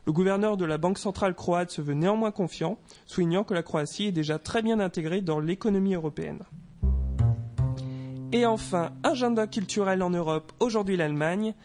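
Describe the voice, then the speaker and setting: A man's voice: sits high, darkish tone, faint music behind. A man presenting a European news roundup on local radio, reading a script and slipping in joking apologies. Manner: reads briskly, adds self-mocking apologies about pronunciation.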